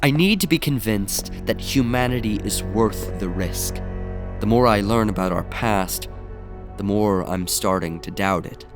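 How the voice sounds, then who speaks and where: Slow, grave, brooding messiah played by a man. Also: lowish voice, music in the background, natural voice. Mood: ominous. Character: brooding male messiah, aloof puppet of cosmic powers. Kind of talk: audio drama acting.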